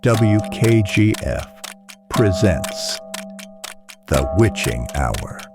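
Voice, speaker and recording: Man doing a deep, slow ominous radio announcer. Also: big pitch swings, over loud music, heavily acted. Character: ominous booming late-night radio station announcer. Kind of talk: dramatic radio show intro.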